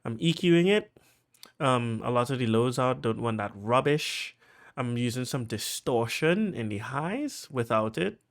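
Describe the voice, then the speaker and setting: A man with a Trinidadian accent casually talking through how he produced a track, chatty and full of fillers, getting excited as he goes. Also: mid-range voice, a little slow. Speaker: music producer.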